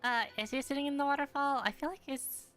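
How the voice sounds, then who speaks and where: A woman giving casual gameplay commentary. Her voice is fairly high and bright, and she reacts in slangy, muttered asides over faint game music. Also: fast. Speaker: game streamer.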